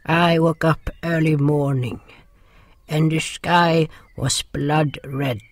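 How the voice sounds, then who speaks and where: A man playing a gentle, sorrowful creature who narrates an audio drama in a deliberate, solemn way. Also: on the high side, vocal fry, heavily acted. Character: gentle, sorrowful non-human narrator River, caring companion.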